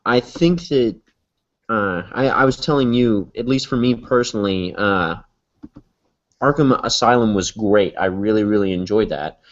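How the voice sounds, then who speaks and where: A man co-hosting a casual podcast, measured and hesitant, with many fillers. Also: lowish voice. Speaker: podcast co-host. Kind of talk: casual chat. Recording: lo-fi podcast audio.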